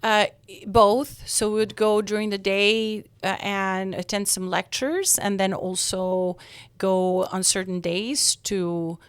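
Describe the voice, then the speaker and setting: A woman's voice: mid-range voice, steady tone, slow pace, smooth, dry recording. A middle-aged woman from Albania with an Albanian accent, a podcast guest talking earnestly with frequent ums and restarts. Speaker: guest, escrow company owner. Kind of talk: interview conversation.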